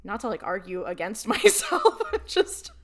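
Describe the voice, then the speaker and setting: A young woman speaks as a podcast panelist. Her pitch is average for a woman. The delivery is conversational and playful, like a debate among friends. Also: very animated, normal speed.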